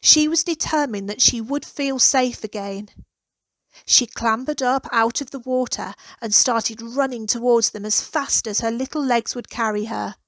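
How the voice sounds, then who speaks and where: Female children's storybook narrator, higher register, expressive and deliberate delivery, dry close sound. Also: dry recording. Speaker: author reading own children's story.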